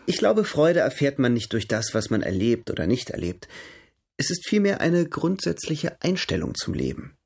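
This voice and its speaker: A charming gentleman artist in a radio drama. His voice is husky and swings freely in pitch, courteous, ardent and light-hearted as he courts. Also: sing-song, normal speed, exaggerated voice. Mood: philosophical, cheerful.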